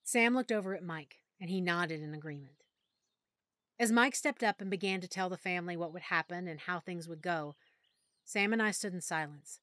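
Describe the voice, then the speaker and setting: A woman narrating a first-person audiobook in a soft, emotional storytelling manner. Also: on the low side, moderate pace, no room sound, clean studio sound.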